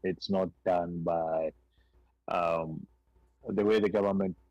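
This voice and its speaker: A man's voice: low voice, fairly even intonation, slow, a little mellow, no room sound, low-quality recording. A man co-hosting a talk show, explaining at length and often repeating words as he restarts. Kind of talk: casual conversation.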